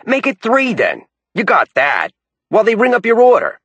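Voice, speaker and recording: A male narrator voicing a heavyset older chain-smoker with a New York accent, a parched raspy voice and a brash, wheedling way of bargaining. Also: high-pitched, huge pitch swings, normal speed, fairly bright tone, no echo, pitched above the performer's own voice, full character voice. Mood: pushy.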